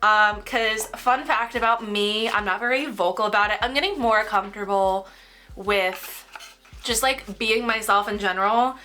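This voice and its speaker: Bright-voiced woman, a bit nasal. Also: medium pitch, moderate pace, low-quality recording. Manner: rambling, heavy use of "like". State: tired from a cold. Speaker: crafting vlogger. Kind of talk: casual chat while packing orders.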